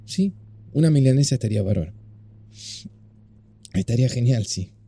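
An actor playing an affectionate, easygoing husband in an audio drama, with a gentle, mellow, husky voice. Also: male voice, medium pitch, lively intonation, normal speed. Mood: tender.

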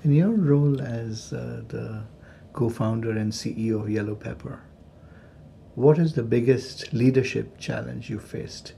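A man interviewing a guest, asking questions in a measured, polite way. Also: mid-range voice, creaky, warm tone, a little hiss or hum.

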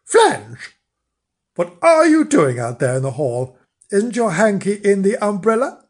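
A man voicing a bossy, impatient wife in a children's story, nagging and scolding in brisk, exasperated commands. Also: fairly high pitch, big pitch swings, husky.

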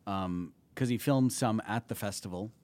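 A Canadian man talking shop on a podcast in a fairly low voice. He rambles loosely, circles back on his points and often lets his sentences trail away. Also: normal speed, a little mellow. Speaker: comedy festival TV producer, guest. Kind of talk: casual podcast conversation.

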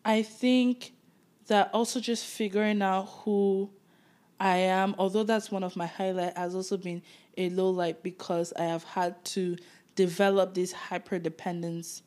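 A woman's voice: mid-range voice, flat delivery, a little slow, darkish tone. Candid Nigerian woman co-hosting a podcast. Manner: reflective, candid, laughs easily. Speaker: podcast co-host. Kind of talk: casual chat.

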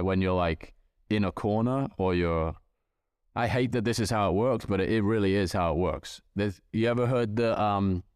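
A man's voice: low-pitched, clean tone, no echo, studio quality. A man speaking as a podcast guest, reflective and thinking aloud with frequent hedges. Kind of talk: casual podcast chat.

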